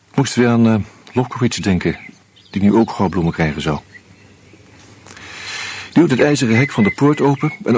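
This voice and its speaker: An actor playing a wry, uneasy screenwriter who narrates a radio play in a measured, reflective way with hesitant fillers. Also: male voice, fairly low pitch, a little mellow, husky. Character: wry, uneasy male screenwriter caught up in murders.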